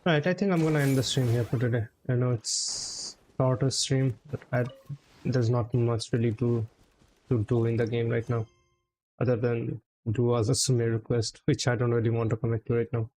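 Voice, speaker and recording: A man's voice: mid-range voice, fairly even intonation, moderate pace, darkish tone, rough recording. A man giving casual livestream commentary as a game streamer, blunt and unenthusiastic in manner. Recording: clear background noise.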